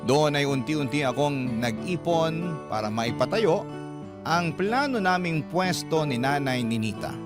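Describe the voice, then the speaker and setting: A man's voice: a little high, expressive, unhurried. A man with a Filipino accent reading a scripted first-person story as a radio drama narrator. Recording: guitar music under the voice.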